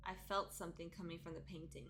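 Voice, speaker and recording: A woman voicing the curious, determined young heroine and narrator of a horror visual novel, in a measured, dramatic read. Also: on the low side, flat delivery, heavy background noise, natural voice. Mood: uneasy, wistful.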